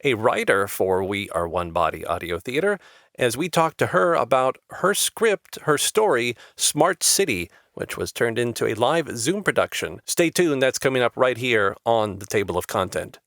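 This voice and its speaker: A man's voice: medium pitch, sing-song, normal speed, a bit bright, no echo, studio quality. A man hosting an interview show, upbeat and effusive in how he talks to his guest. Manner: upbeat and effusive, with scripted-sounding intros. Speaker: podcast host.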